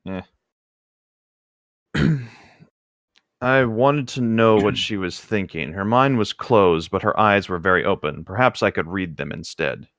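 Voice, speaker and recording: A man's voice: lowish voice, a little slow, long pauses, a bit bright, dry recording, clean studio sound. A man co-hosting a read-along, talking casually in a loose, rambling way and reading passages of a novel aloud. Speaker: co-host of a book read-along show.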